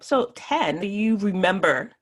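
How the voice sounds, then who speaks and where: A woman hosting a podcast interview, chatty and quick, laughing easily. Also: medium pitch, lively intonation. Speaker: podcast host. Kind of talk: casual interview chat.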